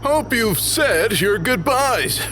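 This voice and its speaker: A man's voice: sits high, lively intonation, takes it slowly, bright, forceful tone, soft background music, exaggerated voice. A young man acting in an audio drama as a smug, taunting rival fraternity president with a gloating manner. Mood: smug, menacing.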